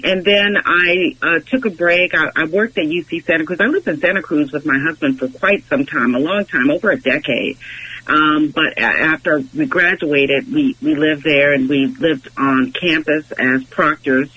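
A woman's voice: low voice, normal speed, husky. A middle-aged American woman from Los Angeles, a guest talking reflectively over the phone, searching thoughtfully for her words. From Los Angeles, United States. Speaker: interview guest, English professor. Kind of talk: reflective conversational interview. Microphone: telephone. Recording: dull, noisy phone line.

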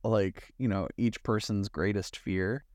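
A man's voice: on the low side, fairly even intonation, a little slow, a little mellow, no room sound, clean studio sound. A man co-hosting a podcast, talking through movies in a casual, conversational and analytical way. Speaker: podcast co-host.

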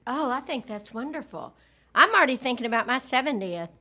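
A woman in her sixties chatting casually on a podcast, playful and quick to laugh. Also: sits high, lively intonation, pushed and bright. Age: 60s. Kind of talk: casual chat.

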